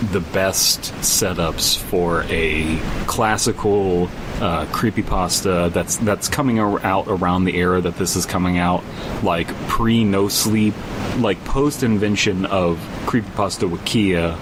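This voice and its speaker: Low-voiced guy on a podcast, kinda wry and rambly, lots of rumbly background noise. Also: a little slow. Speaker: podcast co-host. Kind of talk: casual bantering talk and story reading. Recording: noisy recording with low rumble.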